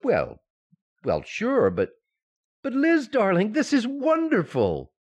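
Radio sitcom husband: male actor, eager and boyish, hugely swooping pitch, slightly muffled sound. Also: wildly swooping pitch, slow, exaggerated voice. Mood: surprised, excited. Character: eager, playful young husband and office employee. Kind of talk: old-time radio sitcom acting.

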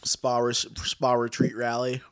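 A man with a stuffy, nasal voice hosts a podcast in easygoing, joking chat. His pitch sits mid-range for a man, and the sound is dry and close, with no room around it. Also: completely flat delivery, a little slow, a bit bright, dry recording. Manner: laid-back, joking, swears casually. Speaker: podcast host. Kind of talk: casual chat.

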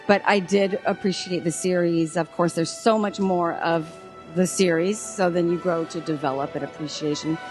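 A woman's voice: lowish voice, steady tone, normal speed, over music. A woman from California speaking casually as a fan in an interview. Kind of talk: casual recollection.

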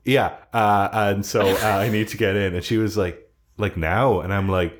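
A man's voice: on the low side, steady tone, normal speed. A man with a Dublin Irish accent, co-hosting a podcast and telling rambling, self-mocking stories with plenty of swearing. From Ireland. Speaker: podcast co-host. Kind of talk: casual storytelling chat.

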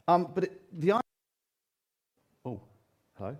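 A man with a loud voice preaching a sermon to a congregation, talking earnestly with frequent pauses and restarts. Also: on the high side, big pitch swings. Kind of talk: church sermon. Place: church hall, live congregation. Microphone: handheld or stand microphone.

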